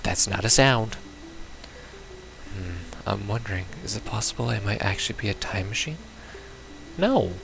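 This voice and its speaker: A man's voice: lowish voice, animated, moderate pace, gravelly, pauses often, low-quality recording. A man playing through a visual novel, reading it aloud and commenting casually with sardonic, blunt reactions. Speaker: let's player. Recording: music behind the voice.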